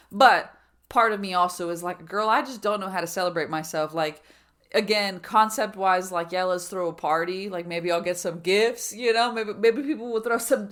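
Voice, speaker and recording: A woman in her thirties with a voice pitched a little low speaks in a loose, rambling vlog style. She fills her talk with "like" and restarts, and now and then slips into quoted asides that voice her own inner thoughts. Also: fairly bright tone. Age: 30s. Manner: rambling, filler-heavy with many "like"s and restarts. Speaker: vlogger. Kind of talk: reflective personal vlog.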